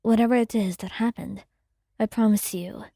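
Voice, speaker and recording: A woman voicing a gentle male partner who comforts the listener in a soft, soothing whisper. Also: on the high side, steady tone, moderate pace, gravelly, dry recording, clean studio sound. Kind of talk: whispered ASMR roleplay.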